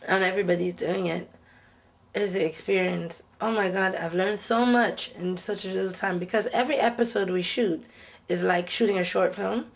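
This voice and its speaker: A woman's voice: mid-range voice, fairly even intonation, moderate pace. A woman from Nigeria, a producer, talking casually in a behind-the-scenes interview. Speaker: TV series producer.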